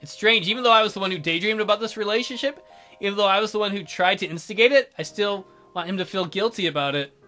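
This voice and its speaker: A man's voice: high-pitched, fast talker, bright, forceful tone, soft background music. A man hosting a let's play, reading visual novel narration aloud and adding wry asides.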